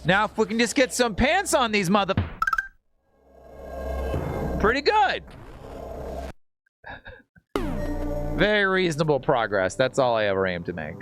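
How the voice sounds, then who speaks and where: A man's voice: sits high, big pitch swings, measured pace, long pauses. A man streaming a video game, giving casual, slangy, rambling and joking commentary to his chat. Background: video game music.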